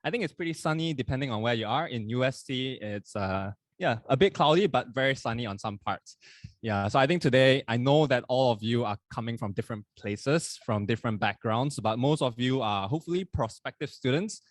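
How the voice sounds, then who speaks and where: Relaxed, chatty young man co-hosting. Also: medium pitch, brisk, no echo. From not from Hong Kong. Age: 20s. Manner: relaxed, chatty, self-correcting. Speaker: podcast co-host. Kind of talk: casual chat at a live event. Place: live university event.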